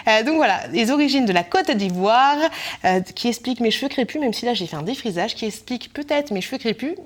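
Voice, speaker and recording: A woman in her thirties from Brittany, France, chatting casually in a vlog, lively and slangy with frequent laughter. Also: a little high, brisk. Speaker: vlogger host. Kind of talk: casual chat.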